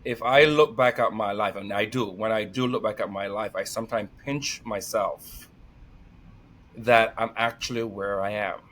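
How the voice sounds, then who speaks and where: A man with a Jamaican accent, a guest telling lively stories in conversation and laughing often. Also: lowish voice, moderate pace. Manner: energetic, animated, laughs often. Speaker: podcast guest. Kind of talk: storytelling conversation.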